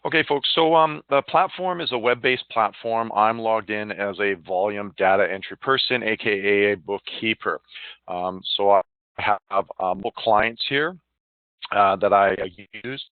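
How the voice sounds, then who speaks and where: A man presenting a software demo in an informal, explanatory walkthrough, plain-spoken, with frequent ums and uhs. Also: fairly low pitch, normal speed, clear, resonant tone, no echo. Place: online screen-share demonstration. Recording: narrow phone-like line.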